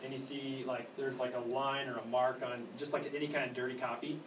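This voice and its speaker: A man giving informal hands-on training as a technician, talking casually and haltingly and often trailing off. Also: medium pitch, little pitch movement. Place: indoor room at an elementary school. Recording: distant mic, echoey room, clear background noise.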